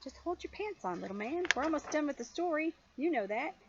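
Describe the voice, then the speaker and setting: Older woman, grandmotherly storybook reader, lively and expressive pitch, slightly muffled home recording. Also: brisk, background noise. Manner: expressive, gently questioning the young listener. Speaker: grandmother reading a storybook to a child. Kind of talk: animated children's story reading with chatty asides.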